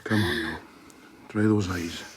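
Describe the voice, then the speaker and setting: A man's voice: sits low, unhurried, husky, exaggerated voice. A man acting in a radio drama as a blunt, embittered socialist doctor with a curt, sardonic way of talking and some kindness underneath. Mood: gruff, gentle. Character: blunt, embittered socialist doctor, gruff but privately kind.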